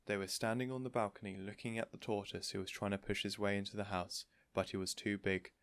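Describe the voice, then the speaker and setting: A man's voice: on the low side, flat delivery, fast talker. A man narrating a children's story from a script, as an audiobook reader.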